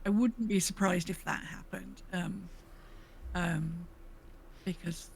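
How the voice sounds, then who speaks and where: A woman's voice: on the low side, steady tone, slow pace, gravelly, darkish tone, breathy edge, poor audio. A woman co-hosting a podcast in a casual gaming discussion, chatty and tentative as she restates her points. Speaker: podcast co-host. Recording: faint background noise.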